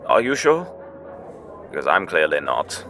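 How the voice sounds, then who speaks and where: An actor playing an insecure, bashful blind prince of the gods in an audio drama, stammering and hesitant. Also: male voice, rumbling low, wildly swooping pitch, unhurried, sharp tone, slight background noise, exaggerated voice. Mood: downcast, unsure.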